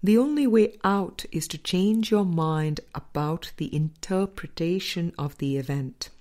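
A woman narrates a spiritual audiobook in a slightly low voice. She reads slowly, setting out each phrase deliberately and leaving measured pauses between them. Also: a little mellow. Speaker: audiobook reader.

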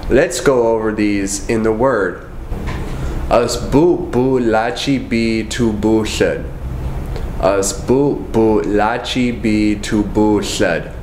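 Calm young man teaching, roomy echo. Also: lowish voice, a little hiss or hum. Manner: calm, measured, repeats each phrase slowly. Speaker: language teacher. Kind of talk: slow, careful language lesson. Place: indoors, in front of a wooden wall.

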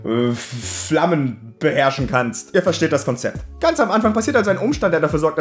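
A man talking casually and enthusiastically to viewers as a YouTube reviewer, in colloquial, slangy language peppered with English loanwords. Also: on the high side. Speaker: YouTube anime and manga reviewer. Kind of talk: casual direct-to-viewer video commentary. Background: background music.

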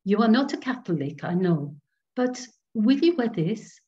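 A woman voicing a timid, kindly little elderly Breton priest in a storybook reading, speaking gently and formally. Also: sits high, very animated, dull audio, pitched above the performer's own voice. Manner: gentle, halting, formal phrasing.

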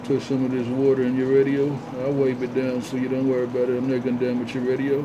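Man on noisy CB radio, even-pitched. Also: fast. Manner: repetitive, chanting catchphrases. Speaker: CB radio operator. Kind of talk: CB radio chatter with call signs and repeated catchphrases. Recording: noisy, dull CB radio audio.

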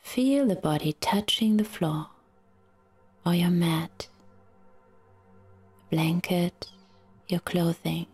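A woman guiding a relaxation meditation in a soft, soothing, half-whispered voice with long pauses. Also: lowish voice, expressive, breathy edge, faint music behind. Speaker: yoga nidra guide.

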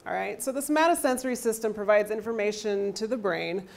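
A woman in her thirties giving a health lecture into a handheld mic in a university lecture hall, loud and energetic, often checking in with the audience. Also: mid-range voice, quick pace, creaky. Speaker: athletic trainer and lecturer. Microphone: handheld microphone.

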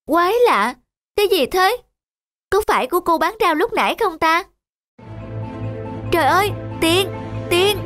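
A woman voicing a wistful little schoolgirl in an animated moral tale, plaintive and childlike, with polite words for elders. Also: extremely high pitch, measured pace, pauses often, exaggerated voice. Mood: surprised. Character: little schoolgirl, wistful, longing for a new dress. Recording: background music under the voice.

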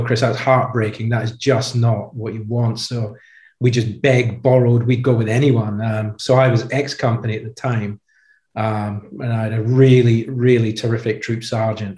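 A man's voice: fairly low pitch, normal speed. Chatty middle-aged man, roomy echo. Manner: chatty, animated anecdotes with frequent "you know". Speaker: podcast guest, former commando. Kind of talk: casual storytelling chat. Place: indoor room with a brick wall. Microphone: video call webcam.

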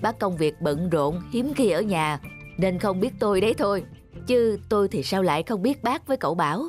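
A man's voice: high voice, animated, moderate pace. A big, kind-hearted male neighbour for a cartoon. He is easygoing and modest, and music plays faintly underneath. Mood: modest, friendly. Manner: easygoing, self-effacing. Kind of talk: cartoon dubbing.

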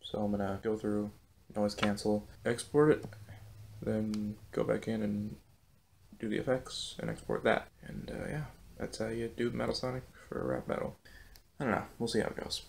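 A young man with a low, monotone voice, talking casually into a condenser mic in a small bedroom. He sounds loose and self-critical, and swears freely as he goes. A faint hiss of room noise sits under his voice. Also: moderate pace, vocal fry, roomy sound. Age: young adult. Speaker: rap battle creator. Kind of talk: casual behind-the-scenes vlog. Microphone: studio condenser mic.

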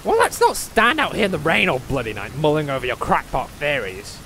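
A man's voice: on the high side, very animated, a little fast, pushed and bright, slight background noise, full character voice. A man playing a crude, cranky gnome potion-maker in a comic fantasy audio drama, foul-mouthed and bickering. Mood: grumbling. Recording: rain and thunder sound effects behind.

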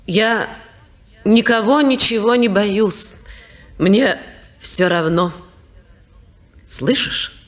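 An actress playing a bitter, reproachful young wife in a 1950s radio play. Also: female voice, medium pitch, animated, very slow, long pauses. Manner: anguished, reproachful. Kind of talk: 1950s radio theatre acting.

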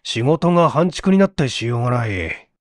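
Audio story role, read by a man: restless male assistant, courteous, animated pitch, dry close sound. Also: moderate pace, no echo, natural voice. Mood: puzzled. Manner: polite, restless, questioning. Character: polite, fretful male workshop assistant.

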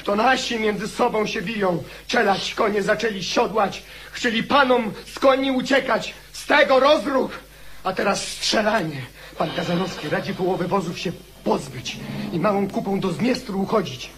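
High-voiced, alarmed male messenger. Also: normal speed, fairly bright tone, a little hiss or hum. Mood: urgent. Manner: urgent, exclamatory. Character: alarmed male servant bringing urgent news of battle. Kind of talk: radio drama acting.

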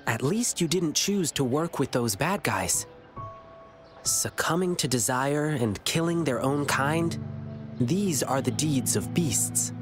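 A man's voice: mid-range voice, animated, takes it slowly. An actor playing an earnest, kindly young lion-boy in a video game, reassuring and thoughtful beyond his years. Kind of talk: video game character dialogue. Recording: clear music behind the dialogue.

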